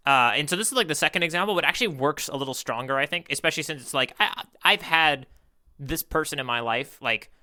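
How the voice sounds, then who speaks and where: A man's voice: fairly high pitch, animated, quick pace, pushed and bright. A man co-hosting a podcast, chatting casually about manga in an earnest, playful way. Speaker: podcast co-host.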